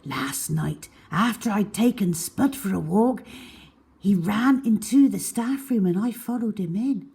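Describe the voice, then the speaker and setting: A woman's voice: mid-range voice, expressive, unhurried, vocal fry, warm tone. A woman storyteller reading a children's diary-style story aloud with expression.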